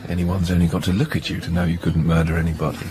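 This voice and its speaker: Radio drama role: male actor as polite, hesitant young English gentleman, posh accent, deep swooping voice, faint noise behind. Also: level tone, fast, lightly acted. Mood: bashful, fond. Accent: upper-middle-class English. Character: genial, bashful young English gentleman dentist.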